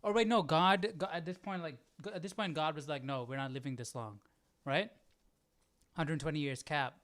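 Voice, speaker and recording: A man's voice: fairly high pitch, normal speed, fairly bright tone, dry recording. A man chatting casually as a live study host, thinking aloud in an informal, tentative way.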